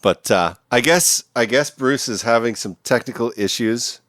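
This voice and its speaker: A man's voice: mid-range voice, normal speed, fairly bright tone, no echo, studio quality. A man, hosting a podcast in an easygoing, swearing, casual way. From Vancouver, Canada. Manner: easygoing, swears, laughs often. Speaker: podcast host. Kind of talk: casual interview chat.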